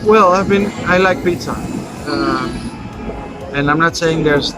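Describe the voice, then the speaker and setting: Relaxed man over loud music. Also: fairly high pitch. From Netherlands and France. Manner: relaxed, halting, with many restarts. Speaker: restaurant owner. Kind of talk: casual interview. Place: busy market food stall at night.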